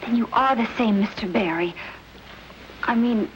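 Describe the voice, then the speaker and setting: A woman's voice: fairly high pitch, normal speed, natural voice. A woman playing a nervous but persistent wife and amateur sleuth, polite and hesitant, in an old radio mystery. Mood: challenging. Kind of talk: 1940s-50s radio mystery acting. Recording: dull, noisy old radio recording.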